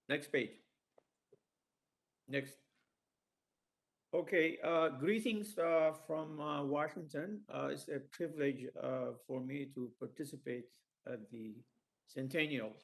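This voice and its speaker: A man speaking haltingly as a professor giving formal remarks as a conference discussant. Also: mid-range voice, vocal fry. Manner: halting, hesitant delivery.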